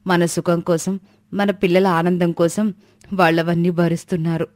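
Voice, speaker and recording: A woman's voice: on the low side, flat delivery, moderate pace, a little mellow, no echo, close to an everyday voice. A woman voicing a caring, long-suffering housewife in a family storybook tale. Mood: sympathetic.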